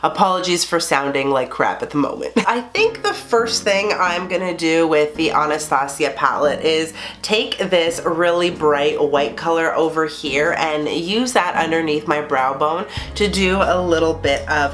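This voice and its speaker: A woman's voice: low voice, a little slow, a bit bright, faint music behind. A woman in her thirties with a stuffy, nasal cold voice, chatting casually as she reviews a palette and does her makeup in a small bedroom. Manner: chatty, explains each step while applying makeup. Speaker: beauty vlogger. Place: small bedroom with string lights, indoors.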